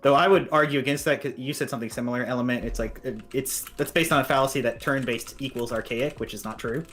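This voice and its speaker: A man co-hosting a casual gaming discussion, making his points in a measured way. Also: medium pitch, a bit bright. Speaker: podcast co-host. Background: music.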